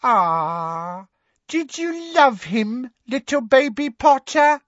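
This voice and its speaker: Male narrator as wicked witch, high shifted pitch, swooping, cruel, mocking, drawn-out taunts, storybook villain, slightly dull recording. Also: animated, fairly bright tone, no echo, heavily acted. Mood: mocking, gloating. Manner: taunting, drawn-out, mock baby talk at times. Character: cruel gloating dark witch, mocking and fanatical, adult woman. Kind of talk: audiobook character voice.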